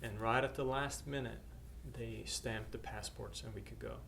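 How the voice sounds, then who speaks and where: A middle-aged man from Norway, a missionary, telling his story to camera in a hesitant way, with many pauses and restarts. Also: mid-range voice, gravelly, a bit bright. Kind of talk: personal testimony to camera. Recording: faint background noise.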